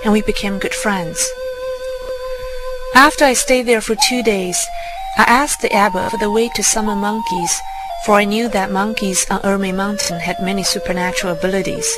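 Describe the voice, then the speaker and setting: A woman's voice: medium pitch, normal speed, low-quality recording. A woman reading a scripted first-person fairy tale as a storybook narrator. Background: music.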